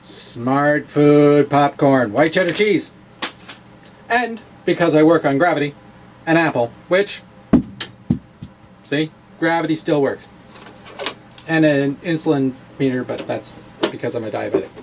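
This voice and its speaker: A man's voice: fairly high pitch, measured pace, long pauses, noisy background. A man presenting a science vlog as a dramatic reading mixed with casual show-and-tell, with theatrical, emphatic phrasing and playful asides. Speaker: science vlogger presenting his own blog.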